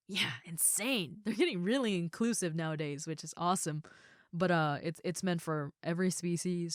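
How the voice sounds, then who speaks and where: A woman playing a gentle, shy young ogre roommate in an intimate comfort roleplay, talking softly, casually and a little hesitantly to the listener. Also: lowish voice, no echo, studio quality. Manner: soft, hesitant, casual, reassuring.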